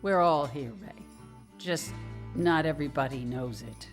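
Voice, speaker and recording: An actress playing a kindly, wry care worker in a radio drama, reassuring and gently teasing. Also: female voice, low-pitched, measured pace, faint music behind.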